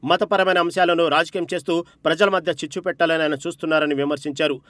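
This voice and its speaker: A man's voice: a little high, fairly even intonation, quick pace, fairly bright tone, no echo, clean studio sound. A man reading a scripted news report as narrator, with an emphatic, dramatic delivery.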